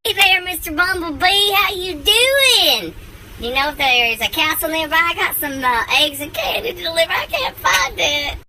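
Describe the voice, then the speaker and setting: A woman's voice: very high voice, expressive, moderate pace, background noise. A woman voicing a lost, sad male storybook bunny with a folksy, plaintive way of talking. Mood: lost, pleading. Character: lost sad male bunny, whiny country delivery rabbit.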